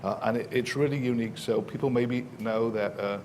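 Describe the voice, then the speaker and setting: A man with an English accent answers a question on a conference panel, speaking at an ordinary middle pitch. He talks like a professor, explaining his points slowly and carefully. He stumbles through restarts and drops plenty of "uh"s and "um"s. A faint hiss sits under his voice. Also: fairly even intonation, a little mellow. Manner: hesitant, many fillers and restarts, explanatory.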